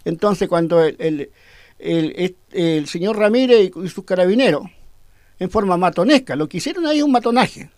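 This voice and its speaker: A high-pitched man speaks firmly as a cacique under questioning, repeating words and stumbling as he builds his point. The recording is dry and close, with no room sound. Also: lively intonation, normal speed, a little mellow, dry recording. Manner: firm, repetitive, stumbling over words. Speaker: Mapuche cacique being interviewed. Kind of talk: radio interview answers.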